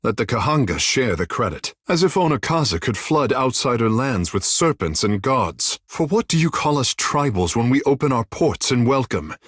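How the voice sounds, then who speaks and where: Fantasy game companion: a flamboyant, vain godlike fish-man, very animated, husky-edged, formal and flirtatious, recorded dry. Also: medium pitch, dry recording. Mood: pointed, proud. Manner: flamboyant, lofty formal phrasing, theatrical. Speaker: player's companion character. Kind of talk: fantasy video game voice acting.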